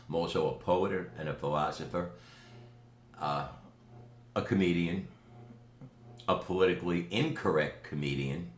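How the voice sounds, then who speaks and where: An older man from New York City with a New York accent, recording a boastful, emphatic video message at home. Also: low voice. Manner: boastful, emphatic, slow deliberate delivery. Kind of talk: direct-to-camera video message. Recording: echoey room with faint ambient noise.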